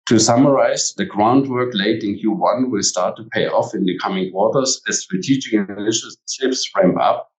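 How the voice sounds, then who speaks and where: Give the voice of a low-pitched man, a company executive delivering a scripted earnings presentation. He speaks formally, as if reading from prepared text. The recording is echoey and sounds some distance from the microphone. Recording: echoey room, distant from mic.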